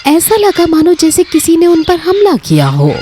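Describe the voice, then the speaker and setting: A woman narrating a scripted children's story in an expressive, dramatic storytelling manner. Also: very high voice, moderate pace, a little hiss or hum.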